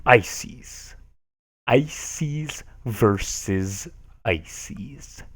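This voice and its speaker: A man's voice: medium pitch, extremely slow, fairly bright tone, husky. A man casually reading forum posts aloud on a stream, sarcastic and amused, often laughing at what he reads.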